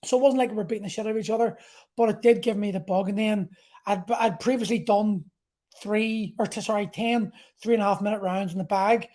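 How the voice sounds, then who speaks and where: A man in his forties with a soft, mellow tone hosts a casual solo podcast. He talks like a coach sharing his own experiences, candid and loosely rambling, with salty language. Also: high-pitched, fairly even intonation, a little fast. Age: 40s. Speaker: podcast host and martial arts coach. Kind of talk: casual solo talk about personal experience.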